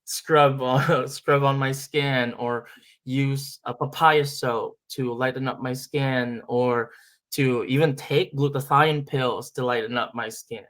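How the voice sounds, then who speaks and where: A man from the Philippines speaks at a middle pitch as a filmmaker guest in an interview. He talks in a reflective, unhurried way, pausing on "um" and restarting phrases as he gathers his thoughts. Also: fairly even intonation, a bit bright. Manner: thoughtful, many fillers and restarts.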